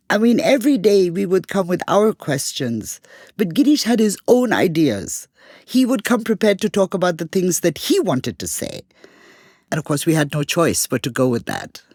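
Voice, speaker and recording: A woman co-hosting a podcast, telling things in a conversational, expressive way. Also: medium pitch, breathy edge, no echo, clean studio sound. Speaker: podcast co-host.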